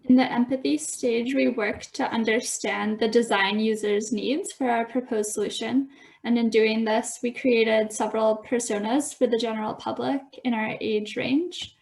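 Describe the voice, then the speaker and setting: A 25-year-old woman from Alberta presenting part of a team project in a measured, explanatory way. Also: a little high, fairly even intonation, vocal fry, darkish tone. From Alberta, Canada. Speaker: student presenter.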